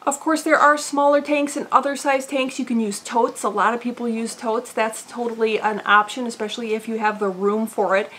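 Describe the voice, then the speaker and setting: Chatty fairly high-voiced woman hobbyist. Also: fairly even intonation, a little fast, hardly any pauses, a bit bright, a little hiss or hum. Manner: chatty, with frequent "uh" fillers. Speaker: aquarium channel host. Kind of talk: casual vlog narration. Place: indoor fishroom with tank racks.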